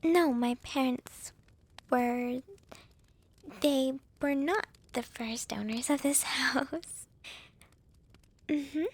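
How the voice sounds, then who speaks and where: Young female vampire with a crush, acted by a woman: higher-pitched, unhurried, whispery ASMR roleplay, soft, shy and playful. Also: full character voice. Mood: soft, hesitant. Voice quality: breathy, whispery.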